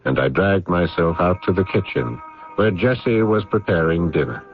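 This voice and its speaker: A weary, burdened man speaks in a low voice with big swings of pitch. His delivery is theatrical, that of old radio drama, heard through a muffled broadcast. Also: animated, moderate pace, soft background music. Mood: somber, reflective. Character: weary, burdened man caring for troubled family, narrating memories. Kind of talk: radio drama acting with reflective narration.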